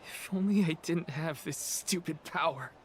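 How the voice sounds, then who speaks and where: A man voicing an earnest young guardian hero in a video game, halting and weighed down by guilt. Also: fairly high pitch, slight background noise, natural voice. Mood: anguished, guilty. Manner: halting, heavy-hearted. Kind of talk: video game cutscene acting.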